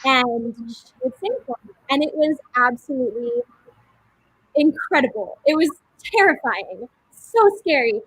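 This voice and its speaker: High-voiced young woman telling a story. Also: measured pace, breathy edge, dry recording, poor audio. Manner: animated, with many fillers. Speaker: cast member. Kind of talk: casual storytelling.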